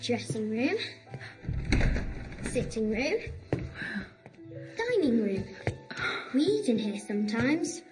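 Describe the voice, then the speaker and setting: A woman playing a lively, imperious young girl in an audio drama, with blunt, playful, childlike chatter. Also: on the high side, slow pace, pauses often, rough recording. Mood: bossy, playful. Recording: music behind the voice.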